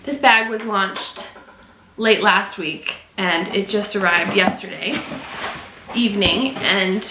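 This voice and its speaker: A woman's voice: medium pitch, slow pace. A woman from Michigan chatting casually to viewers while unboxing and reviewing a leather tote at home. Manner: chatty, talks to viewers while handling the bag. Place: home room. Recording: echoey room with faint noise.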